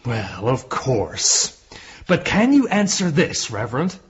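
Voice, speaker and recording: An actor playing a tormented, remorseful man who probes and challenges with slow intensity in a radio drama. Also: mid-range voice, wildly swooping pitch, slightly rough, lo-fi sound, exaggerated voice. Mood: challenging, bitter. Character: tormented guilty man questioning a priest, bitter and remorseful.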